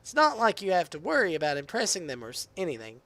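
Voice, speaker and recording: A hoarse man reading the lines of a poised teenage girl who leads a literature club in a visual novel. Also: sits high, wildly swooping pitch, fast, a bit bright, heavily acted. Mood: encouraging. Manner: reads visual novel dialogue aloud.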